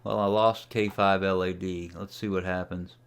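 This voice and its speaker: A man's voice: deep voice, flat delivery, normal speed, smooth. A man giving a casual, unscripted screen-share tutorial as a radio hobbyist, thinking aloud as he operates. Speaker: amateur radio hobbyist demonstrating software.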